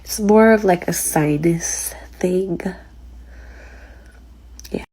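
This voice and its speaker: Sick stuffy woman rambling on a live stream, husky lowish voice. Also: extremely slow, mellow, poor audio. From United States. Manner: rambling, chatty, frequent "like" and "um". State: congested, sick with sinus trouble. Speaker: streamer answering chat. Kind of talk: casual live stream chat.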